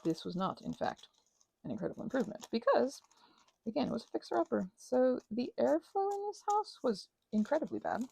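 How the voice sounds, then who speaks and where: Woman, gravelly fry, soft mellow tone, lively intonation, casual meandering chat, self-deprecating. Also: fairly high pitch, fairly quick, no room sound. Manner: meandering, self-deprecating storytelling with acted-out asides. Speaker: host of a crafting ramble and ASMR channel.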